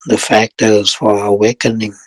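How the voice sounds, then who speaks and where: A man leading a guided meditation as a teacher, speaking calmly in short, measured phrases with long pauses between them. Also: fairly low pitch, no echo.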